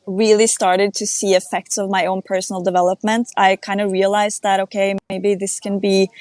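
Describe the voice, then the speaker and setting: Young adult woman from Norway, podcast guest; reflective, halting delivery, dry close mic. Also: medium pitch, dry recording. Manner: thoughtful, hesitant, with many restarts and ums. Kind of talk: casual interview chat.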